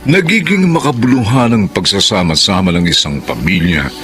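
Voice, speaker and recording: A man narrating a radio drama and reading its credits in a solemn, deliberate announcer style. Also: fairly low pitch, expressive. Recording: narration over loud music bed.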